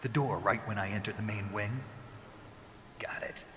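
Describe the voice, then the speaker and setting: An actor playing a gruff, guarded soldier in a cinematic video game, with a gravelly voice and terse, understated delivery. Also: male voice, lowish voice, fairly even intonation, normal speed, big-room sound, background noise, lightly acted. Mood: businesslike, guarded. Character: gruff, guarded male soldier on a covert mission. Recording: music behind the dialogue. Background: music.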